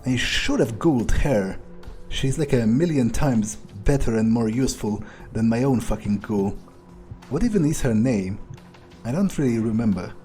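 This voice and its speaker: A man's voice: mid-range voice, expressive, normal speed, warm tone, soft background music, lightly acted. A man voicing a cynical, suspicious vampire in an in-character game roleplay, musing hesitantly and swearing freely. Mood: dry, musing. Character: cynical, suspicious, foul-mouthed young male vampire, thinking aloud.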